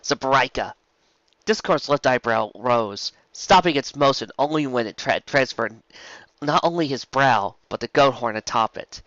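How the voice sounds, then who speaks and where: A man's voice: medium pitch, expressive, normal speed, a bit bright, dry recording, highs cut off. A man narrating a fantasy story as an amateur audiobook reader.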